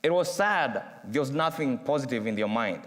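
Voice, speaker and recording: A young man from Kenya with a Kenyan accent, giving an earnest, measured student speech with dramatic pauses. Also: medium pitch, lively intonation. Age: young adult. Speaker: student speaker. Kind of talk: prepared speech read aloud. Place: university chapel. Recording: long reverb from a large chapel.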